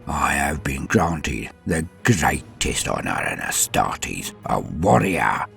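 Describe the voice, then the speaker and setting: A man voicing a centuries-old space-marine sergeant, stoic, proud and dying, in a solemn, halting audio drama monologue with a gravelly voice. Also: lively intonation, a bit bright, faint music behind, heavily acted. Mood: humbled, reverent. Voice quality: very deep gravelly voice. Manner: solemn, halting, dramatic delivery with swings between murmur and force. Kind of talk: scripted first-person audio drama monologue.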